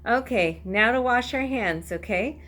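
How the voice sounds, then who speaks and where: High-voiced woman teaching toddlers, slow pace. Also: animated, fairly bright tone. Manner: simple, repetitive phrasing addressed to small children. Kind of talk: instructional talk and sing-along for toddlers.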